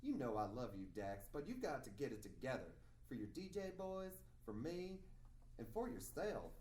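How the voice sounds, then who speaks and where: A man acting an affectionate, candid mentor who coaxes and advises in an expressive way. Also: mid-range voice, normal speed, lightly acted. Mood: concerned, affectionate. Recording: echoey room, distant mic, faint noise.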